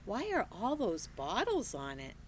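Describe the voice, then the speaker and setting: A woman's voice: medium pitch, wildly swooping pitch, measured pace, slight background noise. A woman reading as a curious young girl, lifting her voice into an eager, questioning tone. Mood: earnest.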